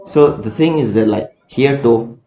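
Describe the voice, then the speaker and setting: A man's voice: medium pitch, measured pace, mellow. A young man with a Manipuri accent, a research student answering questions in an academic discussion, hesitant, with many fillers and tag questions. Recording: echoey room, dull lo-fi audio.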